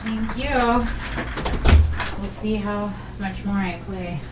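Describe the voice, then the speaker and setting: A woman's voice: mid-range voice, takes it slowly, very noisy. A woman chatting casually and teasingly as a guest on a livestream. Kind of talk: casual chat.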